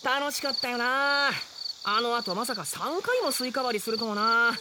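A man's voice: very high-pitched, lively intonation, moderate pace, heavy background noise. A man playing a lazy, cowardly, playful young would-be warrior in a comic radio drama, boyish, slangy and wheedling. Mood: chatty.

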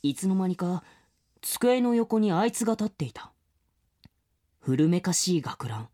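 A woman voicing an earnest, skeptical middle-school boy in an audio drama, acting his part and narrating his story with a husky voice. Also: fairly low pitch, very animated, measured pace, warm tone. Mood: reflective narrating.

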